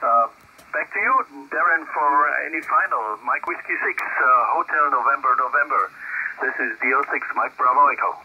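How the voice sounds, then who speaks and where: A German-accented man comes through a ham radio, muffled and with some noise. His phrasing is hesitant and casual, at a middling pitch. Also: moderate pace. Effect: shortwave radio transmission. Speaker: amateur radio operator. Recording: dull, noisy radio speaker audio.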